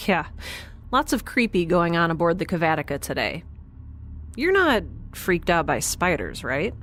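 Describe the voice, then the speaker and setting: A wry, curious young woman aboard a spaceship. Her slightly low voice is casual and a bit hesitant, in natural audio drama style with faint ambience behind it. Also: big pitch swings, moderate pace, a bit bright, slight background noise. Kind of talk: naturalistic science fiction audio drama acting.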